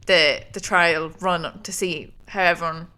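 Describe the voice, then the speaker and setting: An Irish woman co-hosting a podcast, chatting casually with lots of fillers and quick agreement. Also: lowish voice, fairly even intonation, sharp tone. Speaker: podcast co-host. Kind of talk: casual chat.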